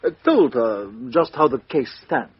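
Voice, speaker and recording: An actor playing a courteous, shrewd foreign detective, speaking in polite, hesitant, deliberate phrases in a radio mystery. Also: male voice, mid-range voice, very animated, husky, no room sound. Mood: politely probing. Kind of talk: radio mystery drama acting. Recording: dull lo-fi radio drama recording.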